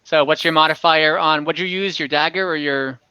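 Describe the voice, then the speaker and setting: A man's voice: fairly high pitch, steady tone, a little fast, bright, forceful tone, clear, resonant tone, no echo. A man talking casually as dungeon master in an online tabletop game. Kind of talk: casual game-running talk. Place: indoors, online voice call.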